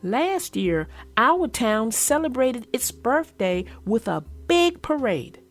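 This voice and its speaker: A woman children's book narrator speaking expressively and deliberately, as if to young children. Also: on the high side, sing-song, soft background music. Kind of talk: storybook read-aloud and casual chat.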